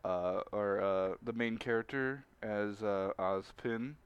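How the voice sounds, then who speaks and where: Man, livestream host, unhurried, smooth voice, informal, halting delivery. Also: lowish voice, dull audio. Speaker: livestream host and show organizer. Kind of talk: casual chat on a group livestream.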